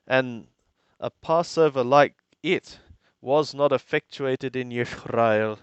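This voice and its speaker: Slow, deliberate man reading scripture. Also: medium pitch, clear, resonant tone, dry recording, lo-fi sound. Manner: deliberate, halting phrasing with self-corrections. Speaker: Bible reader and translator. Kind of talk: scripture reading with phrase-by-phrase translation.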